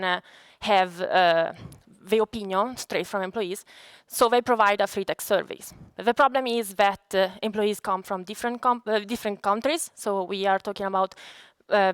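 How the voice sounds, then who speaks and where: A woman from Italy with an Italian accent, a native Italian speaker, giving a conference presentation as a data scientist in a halting, explanatory way. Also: medium pitch, fairly bright tone, clear, resonant tone, no room sound.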